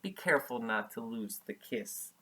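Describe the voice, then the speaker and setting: A man voicing a tender, gently teasing mother raccoon from a children's storybook, in a reassuring, careful manner. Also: mid-range voice, expressive, normal speed.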